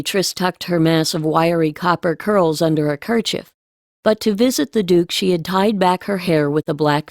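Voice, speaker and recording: A woman's voice: fairly low pitch, darkish tone, dry recording, clean studio sound. A woman reading scripted storybook narration as an audiobook narrator.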